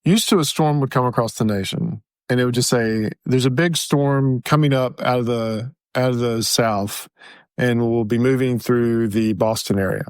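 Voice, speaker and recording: A man's voice: medium pitch, normal speed, smooth, dry recording. A man co-hosting a podcast in casual conversation, explaining things through examples and anecdotes. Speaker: podcast co-host.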